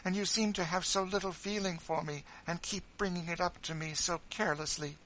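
A man's voice: lively intonation, moderate pace, slight background noise, rough recording. A man voicing a petulant, self-pitying wealthy widow, speaking sharply in a raised voice. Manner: complaining, sharp, high and raised.

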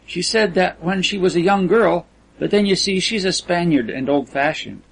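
A man's voice: high-pitched, normal speed, creaky, breathy edge, pitched above the performer's own voice, exaggerated voice, poor audio. A man voicing a sweet, naively vain rich teenage girl with earnest, artless chatter, reading from a story. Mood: proud, chatty.

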